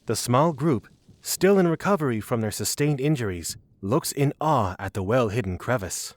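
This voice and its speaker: A man's voice: mid-range voice, expressive, moderate pace, no room sound. A man reading scripted narration for a fantasy audio drama.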